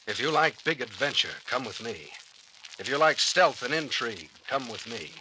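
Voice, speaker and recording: A man's voice: medium pitch, big pitch swings, normal speed, natural voice. A man playing a bold adventurer with an incantatory, beckoning delivery in old-time radio style. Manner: incantatory, repeated beckoning phrases. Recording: old radio recording, clear hiss.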